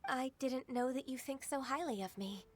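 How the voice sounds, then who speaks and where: Woman acting a high-voiced, gentle game secretary. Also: moderate pace, slight background noise, natural voice. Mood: humbly surprised. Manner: courteous, reassuring, formal. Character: gentle, modest, reliable female secretary and mentor. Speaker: video game character. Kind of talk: dubbed game dialogue acting.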